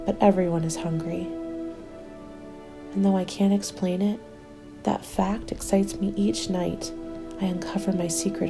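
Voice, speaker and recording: A woman playing a cynical, scheming apocalypse survivor in an ASMR roleplay, breathy and often whispering, taunting and self-justifying. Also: fairly low pitch. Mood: gloating, sensual. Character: cynical, defiant woman survivor hoarding food, selfishly scheming.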